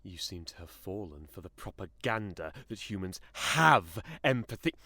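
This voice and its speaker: A male actor plays a menacing inhuman being in an audio drama, condescending and sardonic. The voice is bright and cutting, with big swoops in pitch. Every phrase is deliberate and theatrical, with an aloof air. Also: big pitch swings, no room sound, studio quality, heavily acted. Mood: menacing outrage.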